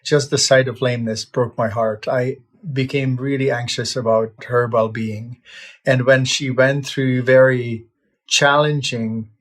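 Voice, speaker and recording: A man in his fifties from Czechoslovakia, a veterinarian telling his life story in a relaxed, reflective way, with plenty of "uh" fillers and restarts. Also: mid-range voice, measured pace. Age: 50s. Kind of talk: casual personal storytelling.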